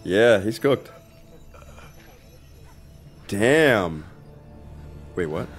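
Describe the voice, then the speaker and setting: A man's voice: on the low side, expressive, drawn-out words, soft background music. A man giving casual, slangy, profane and incredulous reaction commentary on a video game. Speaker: gaming commentator.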